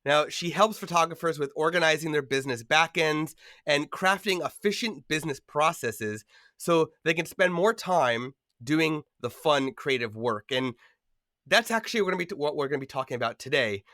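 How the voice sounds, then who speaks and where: A man's voice: on the high side, fairly bright tone, no room sound, clean studio sound. A man hosting a podcast, sounding a bit nasal from a cold, chatting casually with lots of fillers and easy laughs. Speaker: podcast host.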